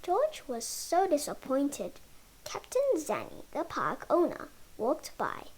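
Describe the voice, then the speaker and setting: A female reader reading a children's picture book aloud, halting word by word in short phrases. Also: high-pitched, huge pitch swings, darkish tone, rough recording. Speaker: storybook reader.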